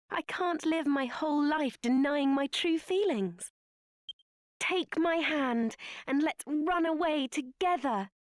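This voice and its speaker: British accent, refined young princess, earnest, high pitch, dry recording, game drama. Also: female voice, no echo. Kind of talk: video game voice acting.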